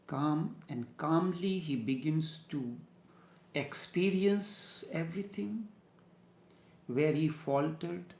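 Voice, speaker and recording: A man from India with an Indian accent and Hindi as his first language, giving a slow, halting spiritual discourse as a teacher. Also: on the high side, vocal fry, a little mellow, roomy sound.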